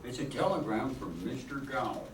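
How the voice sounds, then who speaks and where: A kindly senior angel in charge, telling a story in a radio drama voiced by an older man. The delivery is very animated, distant and roomy, with noise behind it. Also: mid-range voice, brisk, natural voice. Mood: storytelling. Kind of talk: old-time radio play acting, narrating. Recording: echoey, distant, noisy stage recording.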